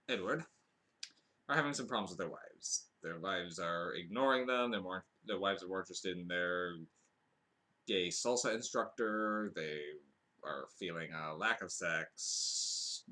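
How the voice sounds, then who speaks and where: A man's voice: deep voice, sharp tone. A man hosting a podcast, giving a casual, rambling film review full of fillers and restarts, with dry asides.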